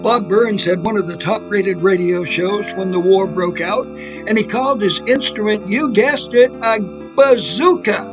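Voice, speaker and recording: A man's voice: high-pitched, a little slow, music in the background, poor audio. A man hosting and narrating a scripted trivia segment in a storytelling style. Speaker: producer and host.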